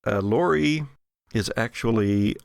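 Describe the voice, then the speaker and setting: A man hosting a tabletop game podcast as game master, mixing dramatic, deliberate narration with casual, dryly humorous chat. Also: mid-range voice, gravelly, clean tone, dry recording, studio quality. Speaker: host and game master of an actual play podcast.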